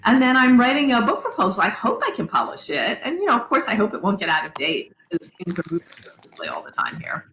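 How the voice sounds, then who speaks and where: A woman's voice: fairly high pitch, huge pitch swings, fast talker, a bit bright. A middle-aged woman, an academic guest, talking conversationally and explaining things in lists and asides. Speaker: interview guest, academic and author. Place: indoor room on an online video call. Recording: echoey room, some background noise.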